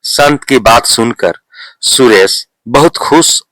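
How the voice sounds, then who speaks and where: Slow, deliberate male storyteller. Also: medium pitch, lively intonation, a bit bright, no echo, poor audio. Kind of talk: scripted moral story narration.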